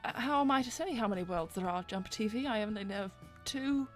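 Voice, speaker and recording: A woman voicing a polite, guarded, apologetic young cat princess with formal phrasing and hesitant pauses in improvised livestream roleplay. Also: mid-range voice, faint music behind, natural voice. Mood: wry, concerned.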